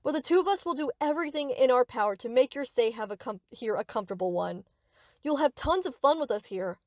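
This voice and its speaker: A woman's voice: sits high, animated, a little fast, darkish tone, no echo, studio quality. A woman playing a young yandere captor in a scripted roleplay, speaking to the listener with cutesy, rambling, possessive affection. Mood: sweetly possessive. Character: sweet, obsessive yandere best friend, young woman captor.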